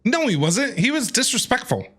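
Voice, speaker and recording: A woman's voice: fairly high pitch, very animated, moderate pace, fairly bright tone, slightly rough. A woman streamer giving playful, heckling reaction commentary while playing a game.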